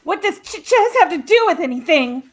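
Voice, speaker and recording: A woman's voice: very high voice, big pitch swings, moderate pace, soft background music. A woman voicing a nervous, stammering schoolgirl who defends herself against an accusation in a fan dub of a game. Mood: defensive.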